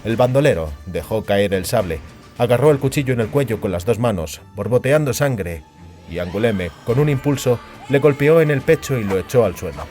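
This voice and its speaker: A man's voice: medium pitch, animated, normal speed, darkish tone. A man narrating fantasy fiction as a dramatic, scripted audiobook. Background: background music.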